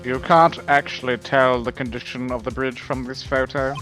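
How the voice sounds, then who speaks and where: A man playing a formal, smug prosecutor in a fan dub of a courtroom game, speaking in a measured, deliberate way. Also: medium pitch, little pitch movement, a bit bright, soft background music, lightly acted. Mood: smooth, assured.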